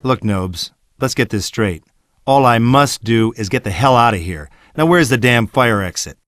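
A man's voice: mid-range voice, animated, moderate pace, fairly bright tone, no room sound, studio quality, close to an everyday voice. Cocky wisecracking male action hero, acted by a man. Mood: exasperated, grudging. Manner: brash, sarcastic, swaggering. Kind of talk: video game cutscene acting.

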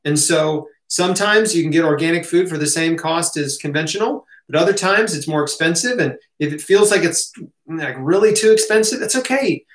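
An American man gives a casual Q&A talk in a fairly high voice. He is conversational and reassuring, punctuating his points with short check-in questions. The sound is clearly roomy, as if recorded at a desk in a home office. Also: expressive, moderate pace. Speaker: health educator, host. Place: small home office, indoors.

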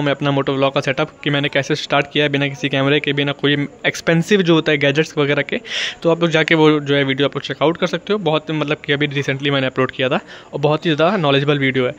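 A young man with an Indian accent giving a casual vlog tutorial, explaining informally and repetitively with English words mixed in. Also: fairly high pitch. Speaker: motovlogging YouTuber giving beginner tips. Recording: dull, lo-fi sound.

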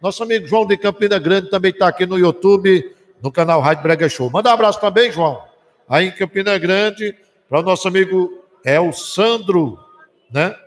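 A man with a Northeastern Brazilian accent hosting a live radio show in a folksy, exclamatory way, chatting and sending shout-outs. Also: high-pitched, normal speed. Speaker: radio host. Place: small indoor room used as a webcast studio. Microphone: desk microphone close to the mouth, headphones on.

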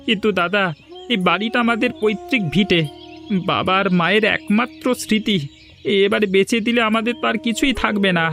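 An actor playing an anxious, downhearted poor village younger brother in a cartoon, speaking in a plaintive way. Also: male voice, sits high, steady tone, a little fast, pushed and bright, soft background music. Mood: worried, downhearted. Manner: plaintive, worried. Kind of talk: cartoon moral story voice acting.

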